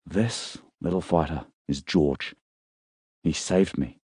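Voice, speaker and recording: A man voicing a rugged, warm-hearted male survivor in an audiobook. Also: a bass voice, takes it slowly, husky, dry recording, studio quality, heavily acted. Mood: warm, wry. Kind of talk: audiobook character dialogue.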